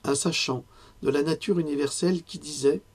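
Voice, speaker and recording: Hoarse man giving a talk. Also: fairly high pitch, steady tone, normal speed. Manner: conversational, with frequent fillers and self-corrections. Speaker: lecturer. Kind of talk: health lecture with personal asides.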